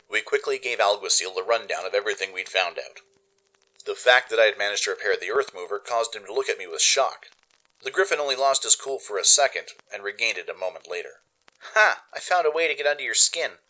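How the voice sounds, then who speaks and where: A man giving expressive, dramatic first-person narration for a radio play. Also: lowish voice, fast, rough recording. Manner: expressive storytelling. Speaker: narrator and producer.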